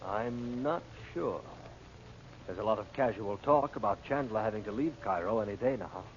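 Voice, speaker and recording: A man's voice: on the low side, animated, normal speed. An actor playing a cocky, wisecracking impostor and reluctant henchman in an old radio drama, breezy, slangy and sardonic. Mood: matter-of-fact. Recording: dull, noisy old radio recording.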